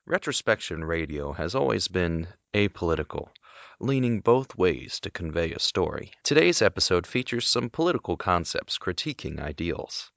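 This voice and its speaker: A man presenting an audio drama series, reading a scripted disclaimer in a measured, emphatic way. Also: low-pitched, sing-song, gravelly, a bit bright, no echo.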